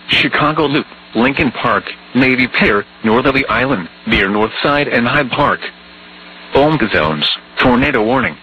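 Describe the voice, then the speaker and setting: Flat synthetic male alert voice. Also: medium pitch. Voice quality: flat, even computer-like delivery. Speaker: weather alert announcer. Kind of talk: automated emergency alert reading. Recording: dull lo-fi broadcast with faint hum.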